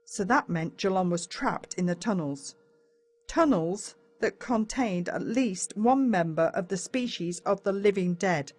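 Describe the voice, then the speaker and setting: A woman with an Australian accent narrates a children's story in the first person, in a dramatic, expressive way. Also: mid-range voice, unhurried. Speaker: audiobook narrator.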